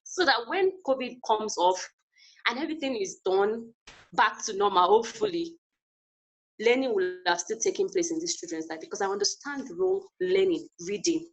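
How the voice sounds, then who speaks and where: Woman, webinar speaker, earnest, encouraging, lively pitch, video call. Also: rough recording. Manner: earnest, encouraging, repeats key phrases. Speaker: guest speaker. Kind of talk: inspirational webinar talk.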